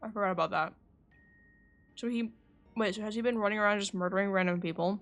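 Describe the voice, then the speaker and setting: A woman's voice: medium pitch, quick pace, fairly bright tone. A woman reacts casually on a game livestream. Her mid-range voice rambles informally, thinking aloud. Faint game music plays in the background. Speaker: game streamer.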